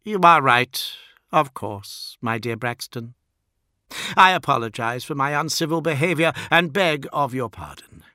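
A man's voice: medium pitch, a little slow, sharp tone, dry recording, studio quality, pitched above the performer's own voice. A man voicing an arrogant, volatile superhuman warrior lord in an audiobook, with a haughty, commanding delivery. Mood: gracious. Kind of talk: dramatic audiobook character voice.

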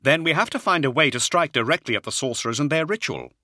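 A man acts a cautious psychic warrior in an audiobook. The voice is raised and bright, carrying grave, earnest care. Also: mid-range voice, big pitch swings, brisk, dry recording, clean studio sound, pitched above the performer's own voice. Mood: grim. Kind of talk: audiobook character acting.

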